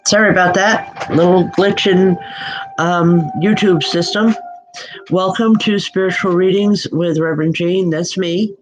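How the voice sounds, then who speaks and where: A woman's voice: fairly low pitch, steady tone, a little slow, faint music behind, rough recording. A woman from the Northeastern US giving a live-streamed spiritual reading as a psychic medium. She has a nasal head cold and talks in a chatty, affectionate, rambling way with many fillers.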